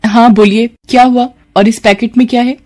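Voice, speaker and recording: A woman voicing an affectionate, modest young wife in a moral story, gently questioning. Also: on the high side, steady tone, fairly quick, pitched above the performer's own voice, natural voice. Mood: curious. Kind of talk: acted dialogue in a Hindi moral story.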